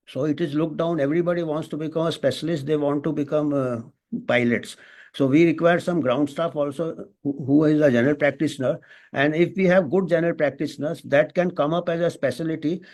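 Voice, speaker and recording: A man with an Indian accent giving extemporaneous panel remarks as a doctor, halting and thinking aloud with frequent false starts. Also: on the high side, a little mellow.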